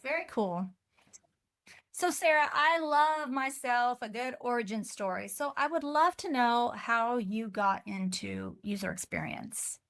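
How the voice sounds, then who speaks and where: Casting: woman host, upbeat and welcoming, a bit high, dry close sound. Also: a little slow, vocal fry, a bit bright, no echo. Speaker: podcast host. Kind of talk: interview hosting.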